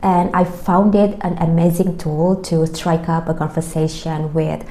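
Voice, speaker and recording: Slow-speaking Indonesian woman tutor, echoey room. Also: low voice, flat delivery, dark tone. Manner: slow, careful, explaining step by step. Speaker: language tutor. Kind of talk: talking-head lesson with tips.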